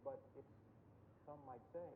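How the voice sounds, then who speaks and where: A man's voice: mid-range voice, unhurried. A man conducting a political interview in a conversational but pointed way, challenging what he hears. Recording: noisy, narrow phone-like radio sound.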